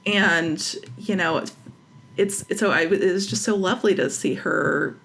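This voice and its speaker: Female interview guest, writer; creaky mid-range voice, casual, self-correcting delivery, slight background noise. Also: on the low side, a bit bright. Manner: halting, searching for words, self-correcting.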